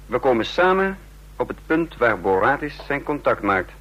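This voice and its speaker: Sardonic commanding spy chief, dull recording. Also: male voice, mid-range voice, sing-song, fairly bright tone. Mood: brisk, instructing. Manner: brisk, teasing, giving orders. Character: sardonic, commanding male chief of secret agents. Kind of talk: Dutch radio play acting.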